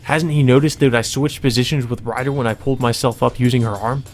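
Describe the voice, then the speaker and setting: A man's voice: mid-range voice, steady tone, fast talker, darkish tone. A man reading visual novel narration aloud as a let's play narrator, in a dramatic storytelling manner.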